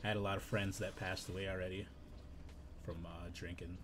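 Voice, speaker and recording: A man in his thirties with a hoarse, raspy voice, chatting in a laid-back, unhurried way while streaming a game. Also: low voice, level tone, background noise. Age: 30s. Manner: laid-back, unhurried, drifting between game remarks and stories. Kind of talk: casual live-stream chat while gaming.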